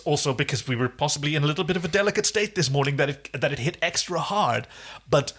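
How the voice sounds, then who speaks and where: Husky male podcast host. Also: a little high, expressive, quick pace, fairly bright tone. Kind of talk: casual fan discussion.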